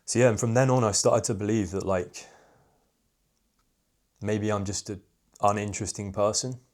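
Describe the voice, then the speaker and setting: A young man talks straight to camera about social confidence, in a candid, reflective way. His voice sits a bit low and fairly monotone, loosened by frequent "like" fillers. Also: moderate pace. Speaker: social skills coach. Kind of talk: casual talking-head advice video.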